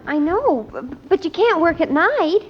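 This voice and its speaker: Earnest teen daughter, high lively voice, gushing, old radio comedy, muffled sound. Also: female voice, a little slow, slight background noise, exaggerated voice. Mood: persuasive. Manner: gushing, persuasive. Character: eager, earnest teenage daughter. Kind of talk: vintage radio sitcom acting.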